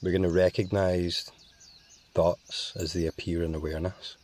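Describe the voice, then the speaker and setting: A man guiding a meditation in a calm, measured way with long pauses. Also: sits low. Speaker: meditation guide. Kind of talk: slow guided meditation.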